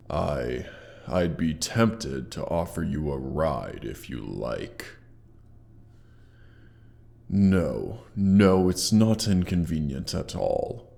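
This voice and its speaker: A man voicing a proud, mighty dragon with a gravelly, pompous, condescending delivery and an effect on the voice, in a scripted roleplay audio. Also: a bass voice, dark tone, full character voice. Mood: coaxing. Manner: pompous, condescending, deliberate theatrical phrasing. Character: proud, mighty male dragon, haughty yet grudgingly grateful. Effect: sound effects processing on the voice.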